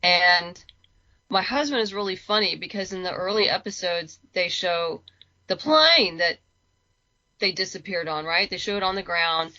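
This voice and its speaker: A woman with a bright, forward voice in casual interview chat. She speaks in halting, chatty bursts. The sound is close and dry, though a little dull. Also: on the low side, animated, no room sound. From lives in Los Angeles. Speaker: interview guest, writer.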